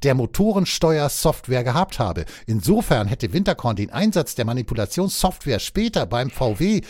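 A man co-hosting a podcast, reading out a news item and then joking around in lively banter. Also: medium pitch, moderate pace, dry recording, clean studio sound. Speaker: podcast co-host.

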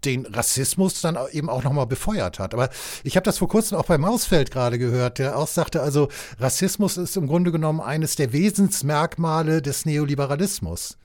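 A man from West Germany in a casual political chat, speaking in a measured, hesitant, long-winded way. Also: fairly high pitch, animated, darkish tone. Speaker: discussion partner.

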